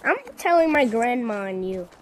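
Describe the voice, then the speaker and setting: High, sing-song woman gamer, slow whiny taunting, noisy mic. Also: expressive. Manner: whiny, teasing trash talk to other players. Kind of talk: live gameplay commentary.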